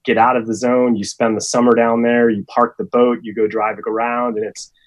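A man, a guest who lives on a sailboat, telling stories in a relaxed, chatty way. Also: medium pitch, brisk. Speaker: guest, sailor living on a boat. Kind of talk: casual storytelling.

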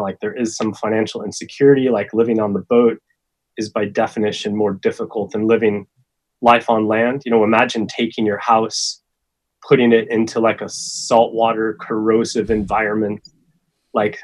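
A man, a guest who lives on a sailboat, telling stories in a relaxed, chatty way. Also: on the low side, poor audio. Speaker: guest, sailor living on a boat. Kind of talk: casual storytelling.

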